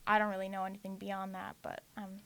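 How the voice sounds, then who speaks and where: Female, late teens, medium pitch. Student actor giving casual, slightly hesitant interview answers. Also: monotone, quick pace, bright, forceful tone.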